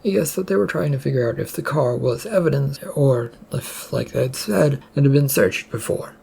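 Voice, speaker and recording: A narrator reading a scripted story aloud in a slightly halting, amateur way. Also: mid-range voice, gravelly, mellow, a little hiss or hum.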